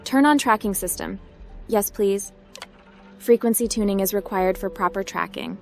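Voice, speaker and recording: A woman's voice: mid-range voice, moderate pace, creaky, faint music behind, lightly acted. A woman voicing a plucky young female hacker and adventurer, the protagonist of a video game roleplay. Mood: focused, self-talk.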